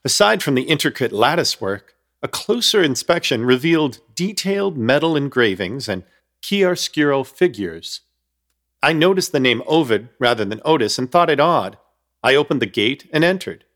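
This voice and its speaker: A young man tells his story in a radio play with dry, measured humor, and his pitch rises and falls expressively from line to line. He sounds like a wry narrator caught up in a strange night. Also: lively intonation, fairly bright tone. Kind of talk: first-person radio drama narration.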